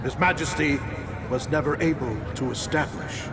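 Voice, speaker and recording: An actor playing a pompous royal advisor in a video game, giving a theatrical public speech with dramatic pauses and a noisy background. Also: male voice, on the high side, lightly acted. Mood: solemn, mournful. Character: grandiose scheming male royal advisor, pompous orator. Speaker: royal advisor addressing citizens.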